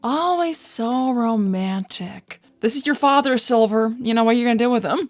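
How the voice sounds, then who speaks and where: A woman's voice: on the high side, normal speed, lightly acted. A woman voicing a flirty, teasing, confident female bat in a cartoon fan dub. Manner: teasing, playful, sly. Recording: background music under voice.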